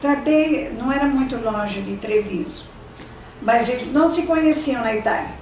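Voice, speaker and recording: An older Brazilian woman whose first language was Italian, reminiscing in an interview and often pausing to search for words and restarting her sentences. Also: a little high, expressive, darkish tone, a little hiss or hum. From Brazil, São Paulo state. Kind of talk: oral history reminiscing. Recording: echoey room, distant mic, faint ambience.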